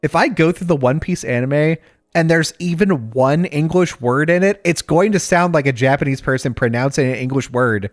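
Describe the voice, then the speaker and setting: A man's voice: on the high side, normal speed, no echo, clean studio sound. A man co-hosting a podcast in a laid-back, joking way. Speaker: podcast co-host. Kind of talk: casual chat.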